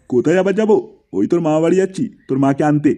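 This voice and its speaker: Man as middle-aged cartoon father, soft dark tone, rapid concerned talk. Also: on the high side, faint music behind, natural voice. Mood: kindly. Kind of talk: comedy cartoon dialogue.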